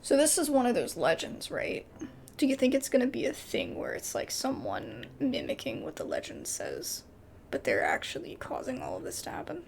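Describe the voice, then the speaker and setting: An American woman chatting casually as she hosts a gaming video, giggly and thinking out loud. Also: high voice, huge pitch swings, normal speed, creaky, a little hiss or hum. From United States. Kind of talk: casual let's play chat and reading game text aloud.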